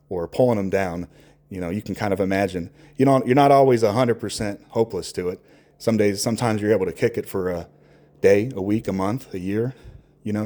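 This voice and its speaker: A man talking candidly and off the cuff in a reflective, earnest monologue, halting as he searches for words and swearing casually. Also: on the low side, warm tone. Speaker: podcast host.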